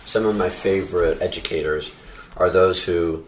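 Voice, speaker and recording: A man with a very low voice hosts an interview, drawing out his questions slowly. He sits well back from the microphone, and his voice rings in an echoey room. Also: steady tone, slight background noise. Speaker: podcast host. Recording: echoey and distant from the mic.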